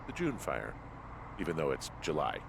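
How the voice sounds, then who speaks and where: A man's voice: extremely low pitch, huge pitch swings, normal speed, vocal fry, noisy background, exaggerated voice. An actor playing an easygoing, wry male fire lookout in a video game, talking casually with dry understatement. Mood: dry, joking. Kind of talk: naturalistic video game voice acting.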